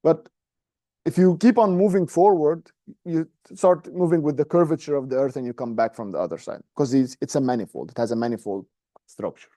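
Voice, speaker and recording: Egyptian guy teaching a math class, kinda high mellow voice, dry sound, keeps checking you follow. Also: brisk, dry recording. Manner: informal, explanatory, often checks in with "okay?" and "right?". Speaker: university lecturer. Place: medium-sized indoor classroom, standing at a presentation screen.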